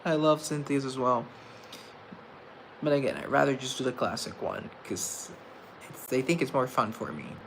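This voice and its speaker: A man's voice: medium pitch, normal speed, gravelly, long pauses, background noise. A man chatting casually on a livestream, reading comments aloud and answering them with self-mocking humor. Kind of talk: casual live chat.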